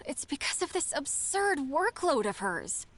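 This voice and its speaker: A woman's voice: high-pitched, sing-song, takes it slowly, a bit bright, slight background noise, heavily acted. A woman voicing an overworked young female secretary in a game who sighs and complains wearily. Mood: weary. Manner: sighing, weary complaints. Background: game music.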